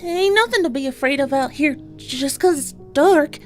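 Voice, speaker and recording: A woman's voice: high voice, moderate pace, soft background music, voice pushed up, natural voice. A woman voicing a nervous, spunky young farm filly with a rural drawl, played from a pony fanfic reading.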